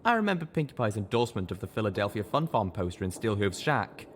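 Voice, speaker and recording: A man reading a first-person story aloud as an expressive narrator, with lively delivery. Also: on the low side, quick pace, slight background noise. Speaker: narrator of an audiobook fan fiction reading.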